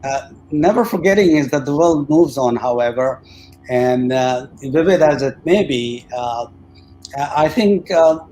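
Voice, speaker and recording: A man who grew up in India, speaking hesitantly with frequent pauses as a participant in a panel discussion. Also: mid-range voice, lively intonation, warm tone, faint music behind, low-quality recording. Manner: hesitant, with many "uh" fillers and restarts. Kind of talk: personal reflection in a panel discussion.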